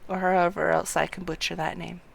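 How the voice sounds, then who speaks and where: A woman's voice: lowish voice, little pitch movement, fairly quick, creaky, background noise. A woman streaming a game, giving casual live commentary and thinking aloud in a self-mocking way.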